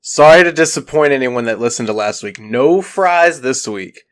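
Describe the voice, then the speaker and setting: A man's voice: medium pitch, normal speed. Easygoing male podcast host, a bit stuffy. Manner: relaxed joking banter with swearing. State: congested from allergies. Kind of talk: casual chat.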